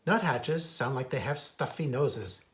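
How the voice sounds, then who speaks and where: A man's voice: medium pitch, animated, unhurried. American man, children's nature read-aloud, informal asides, author-naturalist storytelling. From United States. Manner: imitates bird calls in a singsong.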